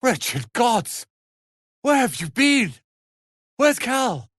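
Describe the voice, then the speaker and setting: A snooty young wizard apprentice for a fantasy game, really animated pitch, touchy and sharp tongued, dry close recording. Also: male voice, extremely slow, dry recording, exaggerated voice. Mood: furious, desperate. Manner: haughty, theatrical, sharp-tongued. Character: proud, irritable young male wizard apprentice, quick to anger. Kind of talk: dramatic fantasy video game acting.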